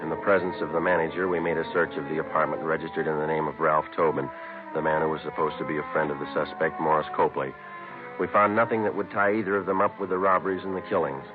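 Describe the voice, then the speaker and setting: An actor playing a terse police detective who narrates his case in a clipped, deadpan way in a 1950s radio drama. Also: very deep voice, quick pace, music in the background. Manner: clipped, deadpan, matter-of-fact. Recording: muffled old radio broadcast recording.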